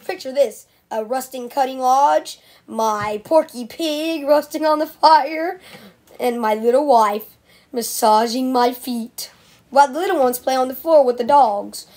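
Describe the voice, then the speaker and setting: A woman voicing a boastful, pushy male suitor in an amateur comedy dub, with slangy, halting speech. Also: high-pitched, darkish tone. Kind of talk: amateur comedic voiceover dub of an animated film.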